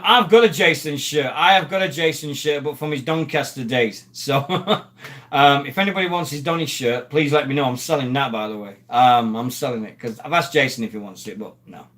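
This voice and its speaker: A man's voice: fairly high pitch, sharp tone. A man from Sheffield, a former rugby league player hosting a rambling, casual live stream and greeting viewers from the chat. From Sheffield, England.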